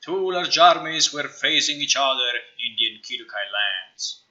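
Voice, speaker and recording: A man's voice: mid-range voice, animated, moderate pace, sharp tone. A man voicing a grand epic narrator of battles for a fantasy game voiceover, declaiming theatrically. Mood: grand, solemn. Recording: slightly dull, lo-fi stream audio.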